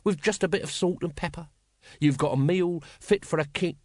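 A man's voice: mid-range voice, sing-song, normal speed, fairly bright tone, no room sound, clean studio sound. A man voicing a scruffy, cheeky watchman, suspicious and cowardly, with colloquial speech, as an audiobook character. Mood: dreamy.

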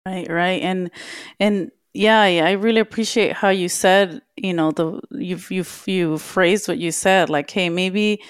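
A woman's voice: on the low side, steady tone, a little slow, smooth, no echo, clean studio sound. A woman from Los Angeles, co-hosting a podcast and talking reflectively with lots of fillers and frequent laughs. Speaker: podcast co-host. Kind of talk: casual podcast conversation.

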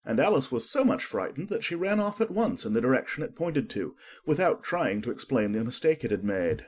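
A man reads a storybook as an audiobook narrator. His voice is husky and medium in pitch, with lively, swooping intonation through the scripted narration. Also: brisk.

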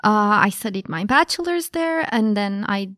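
A woman's voice: medium pitch, animated, moderate pace, fairly bright tone, no echo, studio quality. A young woman from Turkey, a graduate student explaining her topic as a podcast guest, thoughtful with frequent fillers. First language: Turkish. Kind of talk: explanatory interview answers.

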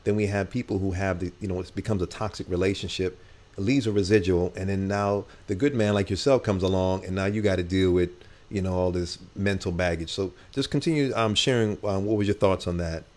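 A man's voice: sits low, steady tone, brisk, darkish tone. A man hosting a casual talk show, rambling with many fillers as he asks questions. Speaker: host.

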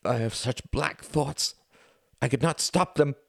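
A man's voice: normal speed, gravelly, a little mellow, no room sound, clean studio sound. A man voicing an injured older brother who sobs and pleads, his voice breaking under guilt. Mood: anguished. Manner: pleading, voice breaking, strained and pitched up. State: sobbing. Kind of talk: audiobook character reading.

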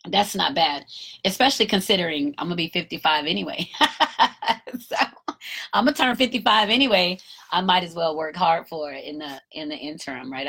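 A woman's voice: low voice, fairly bright tone. A woman in her fifties talking candidly on her solo podcast, encouraging listeners and cursing casually. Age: 50s. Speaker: podcast host. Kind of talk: reflective solo podcast talk.

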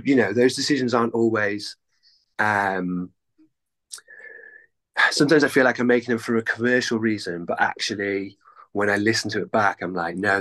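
A man's voice: deep voice, a bit bright, rough recording. A Welsh man in his thirties, a musician chatting casually in an interview, rambling and self-deprecating with lots of fillers. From North Wales. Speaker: guest musician.

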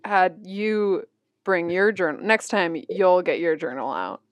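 30代の女性が、ポッドキャストでよく笑いながら気楽に雑談している。. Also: female voice, on the low side, measured pace, no echo. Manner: laughs often, relaxed and chatty. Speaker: podcast co-host, musician. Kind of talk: casual chat.

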